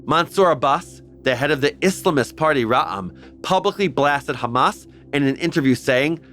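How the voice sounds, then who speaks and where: A man's voice: medium pitch, fairly bright tone. A man hosting a scripted history explainer, talking earnestly with the odd wry aside. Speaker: host.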